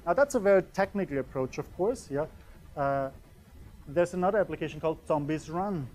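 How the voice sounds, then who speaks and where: A man's voice: a little high, darkish tone. A man in his thirties from Austria with a German accent, a native German speaker, giving a conversational lecture in a small room and often checking in with "yeah?". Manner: conversational, keeps asking "yeah?"; pacing and gesturing while talking. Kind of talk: conference lecture.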